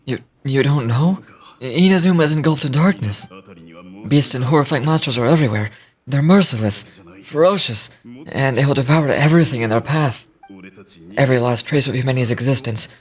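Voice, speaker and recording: A man playing a desperate, dutiful soldier in dramatic video game dialogue, urgent and halting with fear. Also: medium pitch, natural voice. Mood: fearful.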